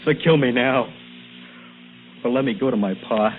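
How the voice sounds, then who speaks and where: An actor playing a desperate, devoted young farm boy, halting and pleading in a radio drama. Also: mid-range voice, slight background noise, lightly acted. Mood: anguished, resigned. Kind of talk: old radio drama acting. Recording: narrow, humming vintage radio recording.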